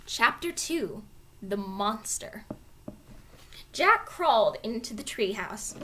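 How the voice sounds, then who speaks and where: Female storybook reader: expressive narration, very lively pitch movement, bright tone. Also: takes it slowly, slight background noise.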